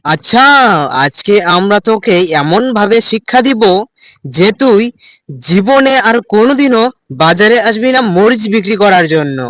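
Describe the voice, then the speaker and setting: A voice actor playing a menacing, taunting male village thug in a cartoon, with a slow mocking drawl. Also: medium pitch, expressive, a bit bright, dry recording, natural voice. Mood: threatening. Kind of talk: cartoon voice acting.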